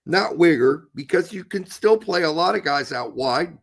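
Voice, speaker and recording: A man co-hosting a casual podcast chat, hoarse with his voice going, blunt and opinionated with some swearing. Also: on the high side, expressive, normal speed. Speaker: co-host.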